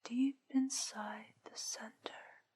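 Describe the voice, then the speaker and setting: A teasing witch hypnotist, acted by a woman, speaks in a breathy, feminine whisper that sits a little high. Her phrases come extremely slowly and softly, soothing and seductive in the manner of an ASMR hypnosis roleplay. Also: fairly even intonation, heavily acted. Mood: hypnotic, lulling. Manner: slow, soothing, drawn-out delivery.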